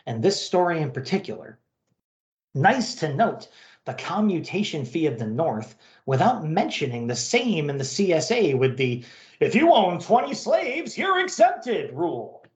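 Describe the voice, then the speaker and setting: Male podcast host, slightly high and very lively intonation, casual banter and mail reading, roomy sound. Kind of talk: casual chat and reading listener email aloud.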